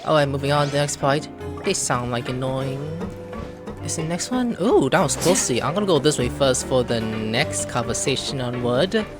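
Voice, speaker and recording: Casting: female gaming host, low voice, lively swings, casual offhand reactions over clearly audible game music. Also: moderate pace. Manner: offhand muttering with sudden exclamations. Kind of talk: casual gameplay commentary.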